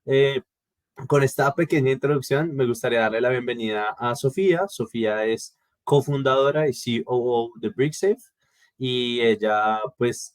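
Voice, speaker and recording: A man hosts an online interview in a polite, easygoing way, breaking up his sentences with small hesitations. His voice is mid-pitched and dry, picked up close with no room sound. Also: no room sound. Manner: polite, with hesitant fillers and restarts. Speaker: company founder hosting the interview.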